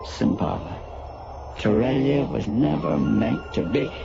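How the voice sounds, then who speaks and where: A man playing an ailing, weary alien military commander in a science fiction radio drama, speaking gravely and haltingly. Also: mid-range voice, lively intonation. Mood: weakened, desperate. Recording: dull lo-fi radio recording, music behind.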